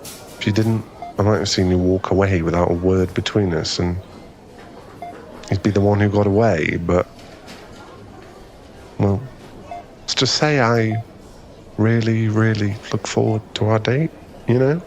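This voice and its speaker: A man playing a flustered, smitten young man in an ASMR boyfriend roleplay, halting and stammering as he rambles nervously to a crush. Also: lowish voice, steady tone, a little mellow, a little hiss or hum, rough recording. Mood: smitten, earnest.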